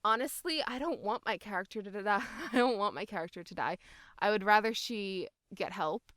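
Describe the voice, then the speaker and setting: A woman's voice: mid-range voice, normal speed, a bit bright, no echo, studio quality. A young woman chatting casually as an interview guest, talking in an enthusiastic, emphatic way. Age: young adult. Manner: enthusiastic, emphatic, laughing as she talks. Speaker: voice actor interviewee.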